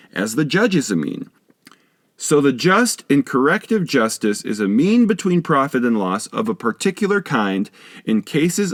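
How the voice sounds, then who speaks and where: A man reading a philosophical text aloud as an audiobook narrator, in a measured, didactic manner. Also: a little high, no room sound, clean studio sound. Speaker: audiobook reader.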